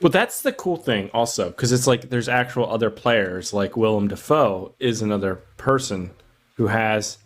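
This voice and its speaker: A man's voice: fairly low pitch, animated, normal speed, faint music behind. A man co-hosting a podcast, discussing films in an informal, conversational way. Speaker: podcast co-host. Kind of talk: casual film discussion.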